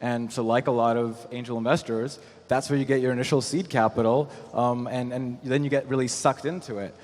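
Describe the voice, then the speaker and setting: A man speaking as an angel investor on a conference panel, explaining his points in a measured way with frequent "you know". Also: medium pitch, steady tone, darkish tone. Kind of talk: panel discussion, explanatory talk. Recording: echoey hall with long reverb.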